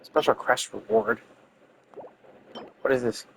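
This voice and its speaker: A man's voice: medium pitch, big pitch swings, slow, gravelly, soft background music. A man giving casual playthrough commentary, rambling and thinking aloud as he goes. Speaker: gaming video host.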